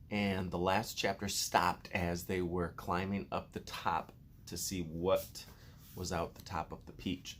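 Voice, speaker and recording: A man with a fairly low voice speaks as a teacher to pupils. He is encouraging and casual, moving easily between chat and storybook narration. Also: a little hiss or hum.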